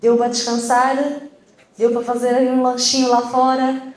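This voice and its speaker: A woman's voice: high voice, completely flat delivery, moderate pace, a little mellow. A woman hosting a conference, introducing the next speaker in a friendly, upbeat way. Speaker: event host. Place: conference venue. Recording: echoey conference room.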